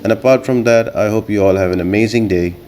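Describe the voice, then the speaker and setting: A man's voice: fairly low pitch, fast, mellow. A man giving a casual, step-by-step video game guide as the host of a gaming channel. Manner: instructional, step-by-step explaining.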